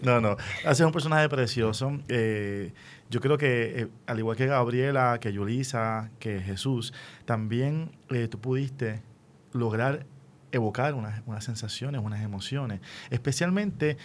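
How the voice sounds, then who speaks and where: A man with a Puerto Rican accent hosting a casual radio interview. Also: unhurried. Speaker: radio host. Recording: faint low rumbling noise.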